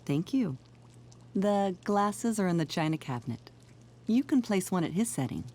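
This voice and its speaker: A middle-aged mother speaks in a medium-pitched voice. She is gracious, gentle and proper, a courteous dinner hostess in a naturalistic game drama. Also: big pitch swings, moderate pace. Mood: courteous, pleasant. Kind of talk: naturalistic video game acting.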